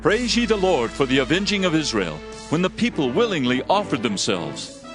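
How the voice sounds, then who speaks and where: High-voiced earnest biblical warrior, played by a man. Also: big pitch swings, normal speed, over music. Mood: exalted. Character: earnest male military leader of ancient Israel. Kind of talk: dramatized scripture reading, archaic English.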